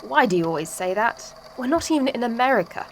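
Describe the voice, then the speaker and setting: A woman's voice: on the high side, animated, quick pace, slight background noise. Woman as a dry, skeptical sidekick friend. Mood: skeptical, exasperated. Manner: dry, blunt, casual. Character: sardonic, skeptical, exasperated female friend. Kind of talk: amateur audio drama dialogue.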